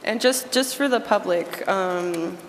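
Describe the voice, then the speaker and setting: A woman on a school committee, making announcements at a meeting while fighting a cold and losing her voice, halting with many fillers. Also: medium pitch. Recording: echoey meeting hall with faint noise.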